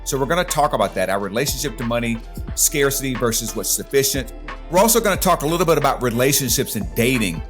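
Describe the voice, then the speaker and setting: A man speaks as a podcast host in a medium-pitched voice. He talks conversationally, as someone presenting a show and interviewing a guest. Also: animated, fast talker, a bit bright, faint music behind. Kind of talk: podcast intro and interview chat.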